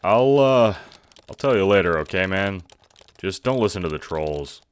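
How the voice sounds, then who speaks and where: A man voicing a sarcastic, defensive teenage boy who talks in slangy, sweary deadpan. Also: low voice, moderate pace, clear, resonant tone, pitched above the performer's own voice. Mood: wry, guarded.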